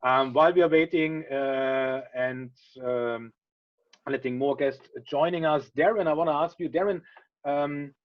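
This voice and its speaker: A man's voice: on the high side, measured pace. A middle-aged man from Germany hosting a webinar over a video call, chatty and friendly with many fillers. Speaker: webinar host. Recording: dull lo-fi video call audio.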